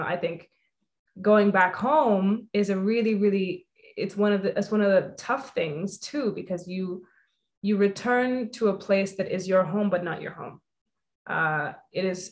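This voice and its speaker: A young woman hosting an interview, talking in a thoughtful, earnest way and filling her sentences with "you know" and "I think". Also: mid-range voice. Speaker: host. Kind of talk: reflective conversation.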